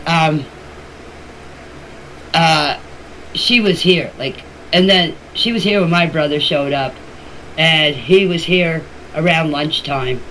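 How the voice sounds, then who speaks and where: Older woman with a bright, forceful voice. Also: low-pitched. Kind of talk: informal voice messages to a group chat. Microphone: phone voice message. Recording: noisy amateur voice message recording.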